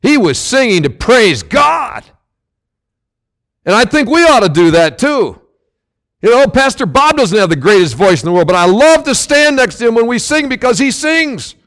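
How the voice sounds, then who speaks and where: Loud, high-pitched animated male preacher. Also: moderate pace. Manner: loud, emphatic preaching with sudden swells and drops. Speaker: pastor. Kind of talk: sermon.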